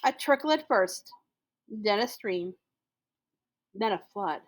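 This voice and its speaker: A woman's voice: mid-range voice, expressive. A woman reading a children's picture book aloud expressively, stressing words and drawing out repetitions for effect. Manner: emphatic, with drawn-out repetitions for effect. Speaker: school storyteller.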